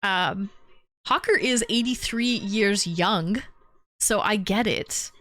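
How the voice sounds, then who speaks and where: A woman's voice: mid-range voice, slow pace, a bit bright, dry recording. A young woman hosting a livestream, chatting casually with viewers and answering their comments, a little stuffy from a lingering cold. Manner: chatty, rambling, talks straight to chat viewers. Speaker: livestream host. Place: home.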